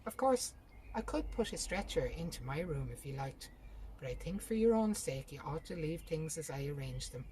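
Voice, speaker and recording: Storybook elder sister, managing and sharp-tongued, practical female reader in the part. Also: low voice, lively intonation, normal speed, a little hiss or hum, poor audio. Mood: practical, persuasive. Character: practical, bossy, impatient older sister.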